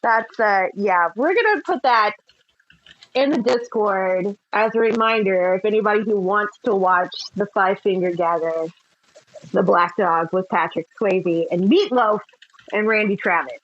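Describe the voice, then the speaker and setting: A woman co-hosting a podcast in casual chat, excitable and quick to laugh and exclaim. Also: medium pitch, lo-fi sound. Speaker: podcast co-host.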